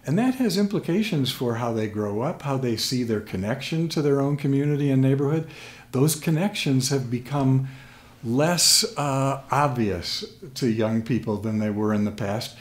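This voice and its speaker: An older American man speaks as an expert guest in an interview, at a mid-range pitch. He explains in measured phrases with small pauses, into a desk microphone in a small room. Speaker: guest expert, sports sociologist. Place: small plain room at a table, indoors. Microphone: desk microphone on stand.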